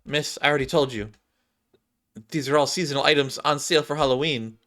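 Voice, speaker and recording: A man's voice: a little high, a little fast, a bit bright, faint music behind, natural voice. A man voicing a patient but exasperated shopkeeper in a game, wearily explaining.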